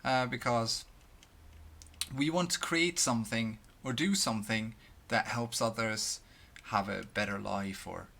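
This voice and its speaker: A man's voice: mid-range voice, a little slow, vocal fry, sharp tone. A man in his thirties with a Swedish accent, thinking aloud in a personal monologue to camera, with many fillers and restarts, speaking into a headset at home. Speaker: vlogger. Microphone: headset, webcam framing.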